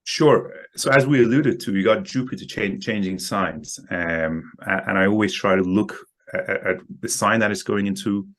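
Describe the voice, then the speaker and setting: Deep-voiced man, halting speech. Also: fairly bright tone. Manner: halting, repeats words often. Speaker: guest market analyst. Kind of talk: casual interview.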